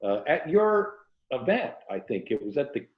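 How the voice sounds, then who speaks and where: A man's voice: mid-range voice, very animated, moderate pace. American man chatting in an echoey room. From Tampa, Florida, United States. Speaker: author, interview guest. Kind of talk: casual literary interview chat. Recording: muffled, echoey room audio.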